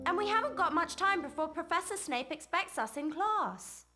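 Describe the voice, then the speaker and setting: A woman's voice: very high voice, lively intonation, moderate pace, pushed and bright, heavily acted. An actress playing an earnest, bossy schoolgirl witch in a video game, decisive. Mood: brisk, concerned. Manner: decisive, matter-of-fact. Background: music.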